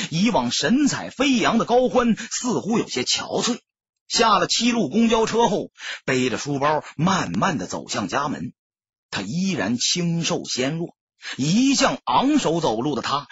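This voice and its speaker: A man's voice: on the high side, a bit bright, breathy edge, dry recording, muffled recording. A man narrating an audiobook as scripted dramatic storytelling, solemn and emotive.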